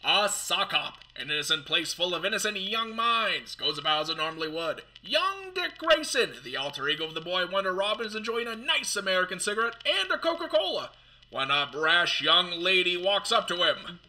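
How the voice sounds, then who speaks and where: Man acting as melodramatic 1950s radio announcer, piercingly bright, high, animated. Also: moderate pace, exaggerated voice. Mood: melodramatic, urgent. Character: excitable old-time radio drama announcer.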